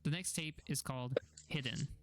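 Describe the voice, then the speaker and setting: A man's voice: medium pitch, moderate pace, over loud music. A man giving a scripted narration that recaps horror videos.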